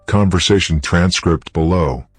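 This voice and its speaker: Very deep-voiced man narrating clinical horror reports. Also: no room sound, soft background music. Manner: formal, clinical, measured.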